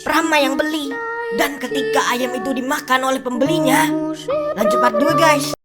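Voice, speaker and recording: A high-pitched storyteller acted by a woman narrates a spooky short video with excitable drama. Loud music runs underneath the cartoon narration. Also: normal speed, lightly acted. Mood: dramatic, suspenseful.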